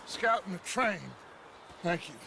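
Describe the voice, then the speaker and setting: Outlaw leader for a western game: American, weary yet commanding, measured delivery, bright and high. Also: male voice, a little hiss or hum. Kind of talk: dramatic western game acting.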